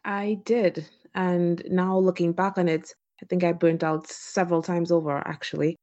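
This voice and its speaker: A woman's voice: fairly low pitch, little pitch movement, normal speed, mellow. A woman from Trinidad and Tobago giving reflective, informal interview answers as a guest, recorded over a remote call with dull, lo-fi sound. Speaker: physician guest on a podcast.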